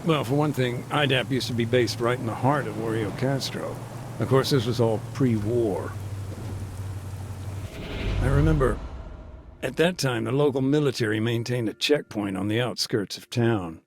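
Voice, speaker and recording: Man doing aid worker, relaxed, hesitant phone chat, faint car ambience. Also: medium pitch, normal speed, background noise, natural voice. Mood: calm. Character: male aid worker in a war zone, thoughtful. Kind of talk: video game cutscene dialogue. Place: moving vehicle. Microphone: phone call.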